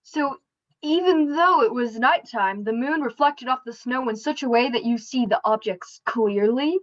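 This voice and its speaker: A woman's voice: fairly high pitch, moderate pace, natural voice. A young woman acting in a radio play as an earnest, incredulous interviewer questioning a witness, over a video call. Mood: fascinated. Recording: dull video call audio.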